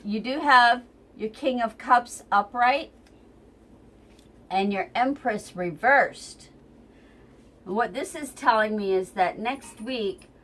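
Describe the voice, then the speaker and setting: A woman's voice: mid-range voice, a bit bright. A woman with a stuffy, runny nose giving a casual, rambling tarot reading at home near her kitchen, recorded on a tripod camera, with plenty of ums. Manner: chatty, rambling, many ums. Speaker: tarot reader.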